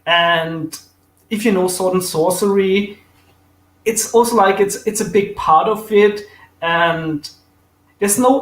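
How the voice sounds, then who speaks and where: A man with an Austrian accent chats eagerly about comics, his voice sitting a little high. He loses his place now and then, starts over and laughs easily. The room around him gives a roomy echo. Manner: enthusiastic, fillers and restarts, laughs easily. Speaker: guest. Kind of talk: casual chat about comics.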